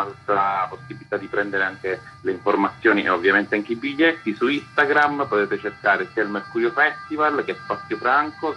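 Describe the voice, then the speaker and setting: Over a dull, lo-fi recording with music behind, a man explains things as an interviewed festival curator. His voice is rather low.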